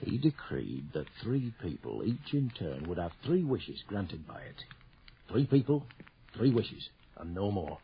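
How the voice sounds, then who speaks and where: An actor playing a haunted sergeant major back from India, grave and foreboding, telling it with theatrical pauses in an old-fashioned radio horror style. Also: male voice, sits low, big pitch swings, vocal fry, a little hiss or hum, exaggerated voice. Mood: ominous. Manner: theatrical, ominous storyteller with dramatic pauses. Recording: dull, lo-fi old radio drama recording.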